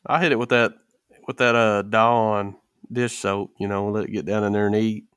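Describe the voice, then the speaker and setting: A man's voice: on the low side, steady tone, moderate pace, fairly bright tone, clear, resonant tone, no echo, studio quality. A man in a casual podcast chat, telling stories with folksy, rural phrasing. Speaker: podcast co-host.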